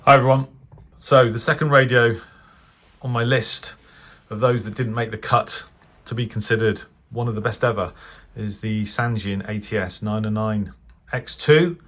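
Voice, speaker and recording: A British man giving an unscripted product review as a hobbyist host, speaking in a frank, hesitant and measured way. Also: lowish voice, steady tone, fairly bright tone. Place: indoors, off camera at a desk.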